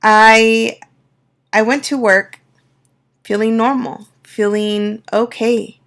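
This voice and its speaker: A woman coach speaking reflectively to her viewers, her voice a little raspy from a cold. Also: on the high side, steady tone. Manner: soft, unhurried and reflective, with many fillers. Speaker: astrology and life coach. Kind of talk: personal talk to camera.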